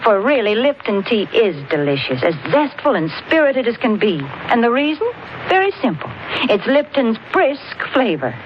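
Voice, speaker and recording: A woman's voice: big pitch swings, moderate pace. A woman delivering a chatty, emphatic sponsor's advertisement in 1940s radio style. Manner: chatty, emphatic sales pitch. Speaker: sponsor's commercial spokeswoman. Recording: muffled old radio broadcast, slight noise.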